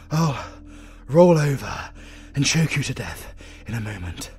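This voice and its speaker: A man's voice: mid-range voice, darkish tone, slight background noise. A British man playing an arrogant, flirtatious supervillain in a romantic comedy audio roleplay, theatrical and easily exasperated. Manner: theatrical, swinging from slow menace to exasperated outbursts. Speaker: voice actor.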